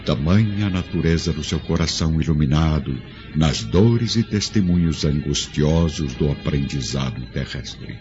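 A man narrates a dramatic radio play with measured, solemn delivery. His voice is very low. The sound is dull and lo-fi, with loud music beneath it. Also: expressive.

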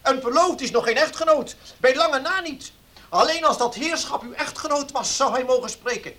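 High-pitched, pompous male notary. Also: moderate pace, bright, forceful tone. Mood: indignant, stern. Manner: formal, pompous, stern. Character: indignant, officious male village notary reading a will. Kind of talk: Dutch radio play acting.

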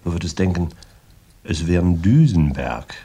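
An actor playing a boastful, tough former heavyweight boxer in a radio crime drama, rambling in slangy, gravelly talk with swagger. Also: male voice, low voice, lively intonation, normal speed, warm tone. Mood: chatty, fond. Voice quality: gravelly, husky.

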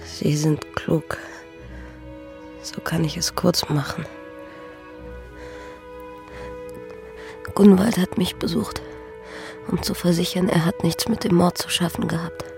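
A woman's voice: fairly low pitch, fairly even intonation, a little slow, vocal fry, music in the background. An actress playing a dying young peasant woman in a radio play, sorrowful and bitter, with a weak, whispery voice and solemn delivery. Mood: grave, confiding. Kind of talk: German radio play acting.

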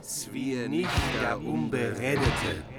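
A man recites archaic epic verse for a radio drama. His mid-pitched voice moves slowly and deliberately, with loud music throughout. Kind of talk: slow verse recitation in archaic Middle High German.

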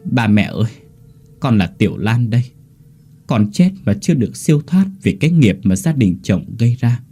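A man's voice: fairly low pitch, very animated, moderate pace, mellow, husky, soft background music, heavily acted. A man voicing the sorrowful ghost of a dead mother in a horror story, pleading and tearful. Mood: sorrowful, pained. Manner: sobbing, pleading.

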